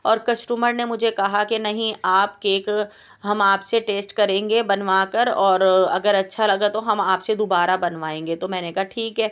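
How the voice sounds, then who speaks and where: A woman's voice: medium pitch, steady tone, a little slow, a bit bright, clear, resonant tone. Home baker woman: sore throat, coughing, plaintive and rambling in her voice-over. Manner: plaintive, complaining, rambling with hesitations. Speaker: home baker and cooking channel host. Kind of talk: voice-over vlog chat. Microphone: home voice-over mic.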